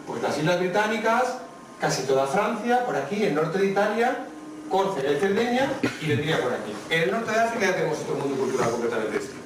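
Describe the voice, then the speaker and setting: A man with a Madrid accent giving a lecture with slides, explaining things directly to the audience. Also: a little high. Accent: Madrid Spanish. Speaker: guest lecturer on traditional dress. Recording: echoey hall, distant mic, faint noise.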